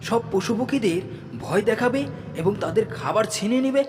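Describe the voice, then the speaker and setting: A man's voice: high-pitched, lively intonation, moderate pace, darkish tone. A man narrating a cartoon animal story in a dramatic storybook style. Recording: long hall reverb.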